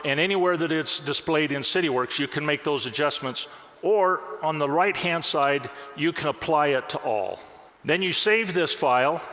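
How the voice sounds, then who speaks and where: Upbeat man demoing software in a hall. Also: a little high. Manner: upbeat, explanatory, steps through screens. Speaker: product demonstrator. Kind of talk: conference software demo. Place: conference session room. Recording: long hall reverb.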